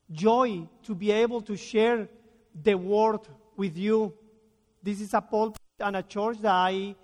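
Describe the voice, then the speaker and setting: Slow-paced preacher in a church. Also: male voice, high voice, a bit bright. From Colombia. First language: Spanish. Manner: earnest, deliberate, reads scripture slowly with emphasis. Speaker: guest preacher. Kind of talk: sermon. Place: church sanctuary. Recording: reverberant church sanctuary.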